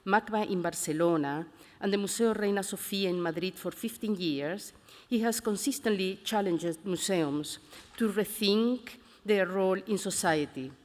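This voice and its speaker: A woman introduces a speaker at a conference, reading in a measured, formal way. Her voice is of middle pitch. The sound echoes through a large hall. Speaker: conference host.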